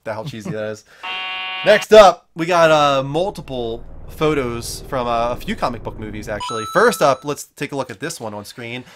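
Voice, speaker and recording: A man's voice: medium pitch, lively intonation, normal speed, background noise. A man hosting a casual panel discussion, speaking in an informal, chatty way. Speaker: host of a movie news talk show.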